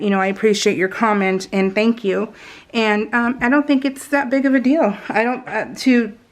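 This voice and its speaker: A woman chatting casually and ramblingly to her viewers as a craft video host, in a giggly, self-deprecating manner. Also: a little high. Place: indoors at home, voice-over recorded while crafting.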